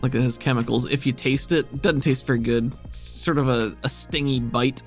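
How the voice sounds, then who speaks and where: A man's voice: mid-range voice, fairly even intonation, a little fast, soft background music. A man acting as game master, narrating and describing scenes for a tabletop game.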